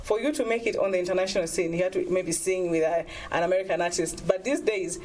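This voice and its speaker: A woman's voice: lowish voice, quick pace, darkish tone, a little hiss or hum. Earnest woman guest advocating for music. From Zambia. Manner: earnest, persuasive, frequent "you know" fillers. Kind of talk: interview answers.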